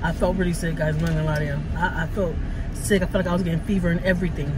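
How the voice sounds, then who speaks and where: A woman in her thirties with a Texas accent talks fast and rambles to her phone camera while driving, repeating herself. Her throat is a little sore. Loud road noise hums around the car. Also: fairly low pitch, fairly even intonation. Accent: Texan. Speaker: vlogger. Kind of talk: casual chat to camera. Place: inside a car. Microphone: phone held at arm's length.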